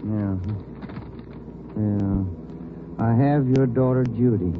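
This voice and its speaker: A man's voice: mid-range voice, slow pace, close to an everyday voice. A male actor playing an inquisitive, plain-spoken American police partner in a conversational 1940s radio drama style. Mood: inquisitive, concerned. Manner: conversational, questioning. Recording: noisy, tinny 1940s radio broadcast.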